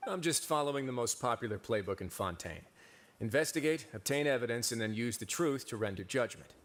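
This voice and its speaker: An actor playing a composed, shrewd man in a video game, speaking in a measured, persuasive way. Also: mid-range voice, expressive, a bit bright, a little hiss or hum, natural voice. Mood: calm, persuasive. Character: composed, shrewd adult man, calmly scheming ally.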